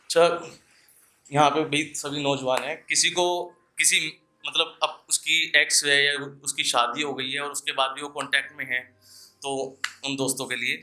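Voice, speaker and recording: Bright, forceful young man reciting poetry. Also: on the high side, little pitch movement, normal speed. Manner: declaims couplets, repeating lines for emphasis. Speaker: poet performing at an open mic. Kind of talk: live Hindi poetry recital with casual asides to the audience.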